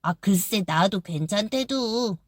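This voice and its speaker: A woman doing a gentle, curious tree. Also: medium pitch, expressive, slow, dry recording, studio quality.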